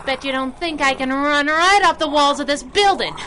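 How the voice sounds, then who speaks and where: A woman's voice: sits high, moderate pace, close to an everyday voice. A woman playing a plucky, sassy young masked sidekick in radio adventure style, tossing out teasing quips, with noisy effects behind her. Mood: teasing, bravado.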